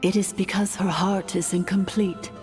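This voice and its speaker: A woman's voice: medium pitch, level tone, moderate pace, darkish tone, soft background music, close to an everyday voice. A woman voicing an ancient, patient robot guardian in a video game, speaking in formal, solemn exposition. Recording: long hall reverb.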